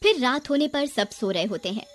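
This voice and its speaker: A woman's voice: sits high, animated, fairly quick, a bit bright. A woman narrator reading a scripted horror story.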